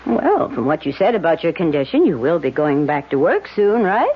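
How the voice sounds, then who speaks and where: An actress playing a lively, caring, teasing secretary to a lawyer in a vintage radio drama. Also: female voice, deep voice, normal speed, husky, heavily acted. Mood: concerned. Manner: teasing, expressive. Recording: dull, noisy old radio recording.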